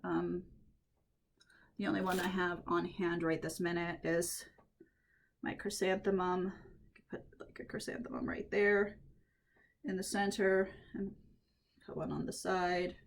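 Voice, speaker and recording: A woman at home, a little croaky from allergies, chatting through a head-wrap tutorial and thinking aloud as she works. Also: fairly low pitch, fairly even intonation, measured pace, pauses often, slight background noise. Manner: chatty, thinks aloud while working, frequent restarts. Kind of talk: casual hands-on tutorial.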